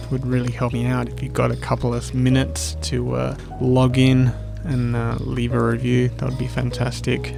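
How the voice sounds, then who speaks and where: Male podcast host, medium pitch with noticeable vocal fry, monologue delivery over a music bed. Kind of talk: spoken-word monologue and casual podcast sign-off, over music. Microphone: close podcast microphone.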